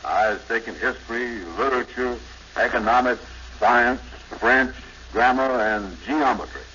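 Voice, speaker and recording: An actor playing a gullible, slow-witted middle-aged man, earnest and simple, in 1950s radio comedy style. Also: medium pitch, sharp tone. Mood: proud. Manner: earnest, simple, drawn-out yeahs, ungrammatical. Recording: muffled, faintly noisy 1950s radio recording.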